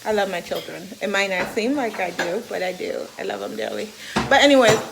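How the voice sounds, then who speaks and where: A woman's voice: mid-range voice, brisk, vocal fry, background noise. A woman with a Jamaican accent chatting to her viewers as a mom vlogger, teasing and sassy as she tells her stories. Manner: sassy, teasing, animated storyteller. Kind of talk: casual chatty vlog.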